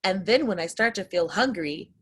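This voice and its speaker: A woman's voice: medium pitch, lively intonation, moderate pace, breathy edge. A woman voicing a cheerfully predatory swordfish in sing-song verse for a children's storybook. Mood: eager. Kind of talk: children's picture-book read-aloud.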